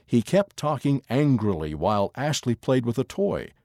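A man giving a scripted narration of an article in a measured, expressive reading voice. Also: mid-range voice, no echo, studio quality.

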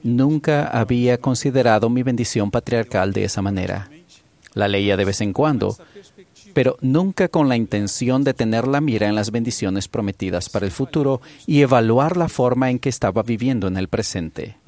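A man delivering a formal church conference sermon in the words of an interpreter, in a measured way. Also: mid-range voice, darkish tone.

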